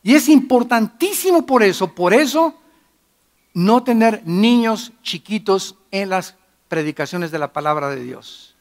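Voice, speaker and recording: A middle-aged pastor preaching with emphatic force, his voice sitting unusually high for a man. He moves between loud declarations and hushed asides as he addresses a church congregation. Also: expressive, a little slow. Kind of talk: sermon.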